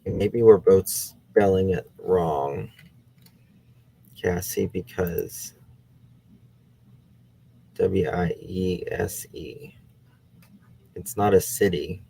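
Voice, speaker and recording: Host, male: very low gravelly voice, slow unhurried delivery, casual case chat, faint noise behind. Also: mellow, rough recording. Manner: thinking aloud while searching online. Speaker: true crime channel host. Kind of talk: casual live case discussion.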